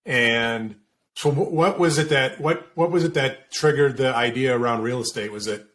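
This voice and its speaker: A man hosting an interview, asking halting questions and agreeing often. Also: medium pitch, a bit bright. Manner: encouraging, frequent brief agreements, halting questions. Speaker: podcast host. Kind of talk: casual interview.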